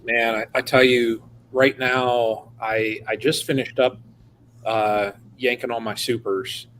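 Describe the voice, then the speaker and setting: A man's voice: mid-range voice, level tone, slow pace, fairly bright tone, a little hiss or hum. An American man co-hosting a casual chat, talking in an earnest, emphatic way. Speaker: livestream co-host.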